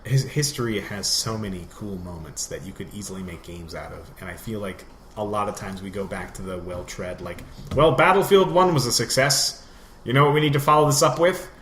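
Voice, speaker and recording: Male podcast co-host, deep-ish voice, loose rambling gamer chat, roomy sound with background noise. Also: brisk, a bit bright. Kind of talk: casual gaming chat.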